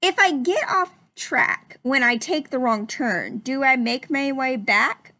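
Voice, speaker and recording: A woman's voice: high voice, lively intonation, takes it slowly, bright, forceful tone, full character voice. A woman voicing a curious little boy in a storybook, asking earnest questions with questioning, rising phrasing. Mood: earnest wondering. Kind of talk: storybook read-aloud.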